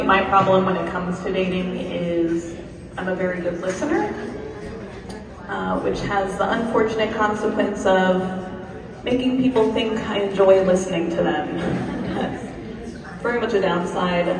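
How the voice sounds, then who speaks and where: A woman's voice: mid-range voice, unhurried, a crowd in the background. A woman doing a stand-up comedy set with a dry, self-deprecating delivery, at an event. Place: event hall with a crowd. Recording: echoey hall, mic heard from far off.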